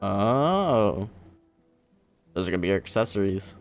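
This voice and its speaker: A man's voice: lowish voice, sing-song, measured pace. A man giving casual livestream commentary as he plays a video game. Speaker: game streamer. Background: game music.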